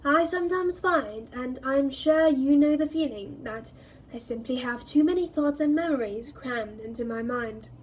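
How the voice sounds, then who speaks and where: A woman voicing a calm, gentle old wizard headmaster who speaks quietly. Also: high-pitched, moderate pace, slight background noise, natural voice, low-quality recording.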